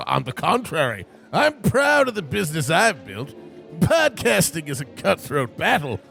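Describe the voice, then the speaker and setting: A man's voice: on the high side, huge pitch swings, unhurried, vocal fry, bright, forceful tone, faint music behind, heavily acted. A man playing a gloating old villainous tycoon in a parody radio play, pompous and scheming in old-fashioned speech. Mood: smug, wheedling.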